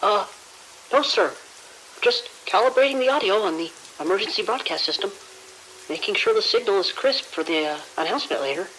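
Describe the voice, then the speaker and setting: Actor as hesitant junior security guard, faint hiss. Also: male voice, high-pitched, animated, a little fast, a bit bright. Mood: sheepish. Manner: hesitant, deferential, casual. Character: deferential, awkward junior male security guard. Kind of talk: audio drama radio report. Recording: hissy, crackly radio-like noise.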